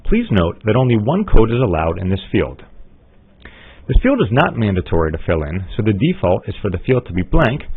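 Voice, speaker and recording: Low-voiced man on a phone-like line. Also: very animated, brisk, husky. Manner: methodical, instructional. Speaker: software trainer. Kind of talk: scripted step-by-step tutorial narration. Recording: muffled, phone-like narrow-band audio.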